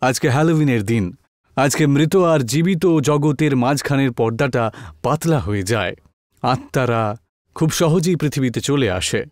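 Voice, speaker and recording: A man's voice: mid-range voice, expressive, measured pace, no echo, clean studio sound, natural voice. A man voicing a stern, ominous priest, solemn and commanding, in a dramatic horror cartoon. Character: stern, ominous priest exorcising spirits, secretly vengeful.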